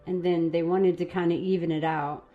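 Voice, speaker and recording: A woman hosting a live stream, chatting casually and rambling a little while her voice is going hoarse. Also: on the low side, steady tone, normal speed, dull audio, soft background music. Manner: casual, rambling, laughs at herself. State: losing her voice. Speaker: host.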